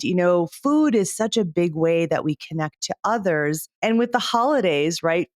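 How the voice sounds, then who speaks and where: A woman's voice: fairly low pitch, dry recording, clean studio sound. A woman hosting a podcast interview, effusive and enthusiastic toward her guest. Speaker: podcast host, doctor.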